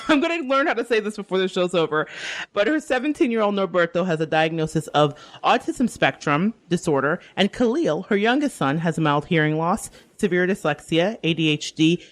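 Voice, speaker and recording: Female radio host from the Bronx; chatty, laughs often; studio sound. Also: low voice. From the Bronx, New York. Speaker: radio talk show host. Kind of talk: radio interview.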